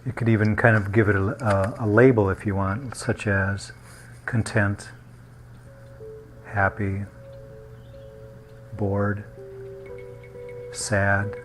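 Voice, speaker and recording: A man guiding a meditation in a gentle, unhurried manner, leaving long pauses between short phrases. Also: on the low side, level tone, soft background music. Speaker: meditation guide. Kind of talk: guided meditation.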